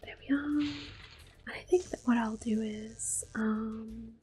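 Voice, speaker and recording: A woman hosting a relaxing game playthrough, talking mostly in a gentle, unhurried whisper with small exclamations here and there. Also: fairly high pitch, soft background music. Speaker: gaming ASMR host. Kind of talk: soft whispered game commentary.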